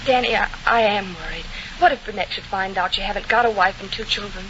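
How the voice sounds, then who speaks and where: A woman's voice: medium pitch, fairly quick, natural voice. Woman acting a sardonic but caring leading lady, old radio play, noisy recording. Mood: worried. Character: sharp, sardonic young woman softening into earnest concern. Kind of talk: 1940 radio comedy acting. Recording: muffled, noisy vintage radio broadcast.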